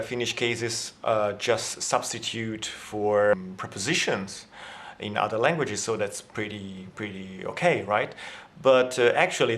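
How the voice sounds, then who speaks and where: A man's voice: on the low side, a bit bright. A man in a language vlog, explaining grammar in a casual, thinking-aloud way with many fillers and restarts; his first language is Italian. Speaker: language vlogger. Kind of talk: casual language lesson to camera.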